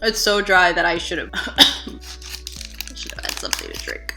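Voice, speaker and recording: Bright chatty woman in her 30s trying snacks in her living room, faint music underneath. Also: lowish voice, a little slow. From United States. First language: English. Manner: chatty, animated reactions while tasting. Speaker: food vlogger. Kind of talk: casual taste-test vlog. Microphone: camera at desk distance.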